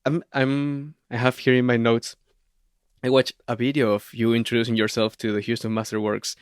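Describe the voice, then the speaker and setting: Smooth-voiced Venezuelan-accented man. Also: mid-range voice, level tone, no room sound. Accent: Venezuelan Spanish. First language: Spanish. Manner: warm, affirming, measured questions. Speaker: podcast host. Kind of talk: interview podcast. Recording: dry close mic.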